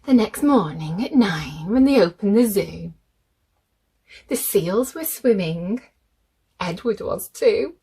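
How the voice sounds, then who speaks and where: A woman reading a children's picture book aloud, expressive and deliberate, speaking directly to young listeners. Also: medium pitch, breathy edge.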